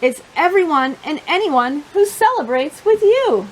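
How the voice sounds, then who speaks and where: A woman hosting storytime for young children, reading and chatting in simple, expressive phrases. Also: high-pitched, a little slow, a little hiss or hum, low-quality recording.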